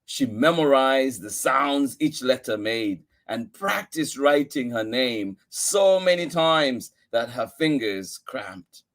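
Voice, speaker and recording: Slow-paced man reading a storybook aloud. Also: medium pitch, lively intonation, fairly bright tone. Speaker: story-time host reading a picture book aloud. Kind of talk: expressive read-aloud storytelling.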